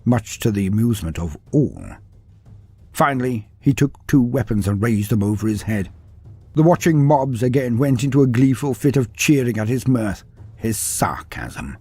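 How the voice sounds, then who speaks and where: A man with a husky voice narrates a fantasy story in a dry, wry way. His pitch swings widely from line to line, and faint music plays underneath. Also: animated, moderate pace, darkish tone, no echo.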